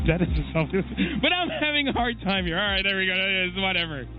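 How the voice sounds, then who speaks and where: Male caster, playful banter, high voice, music behind. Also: a little fast, narrow, tinny audio. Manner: playful, joking with chat, animated. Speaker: esports caster. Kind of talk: live esports commentary and banter.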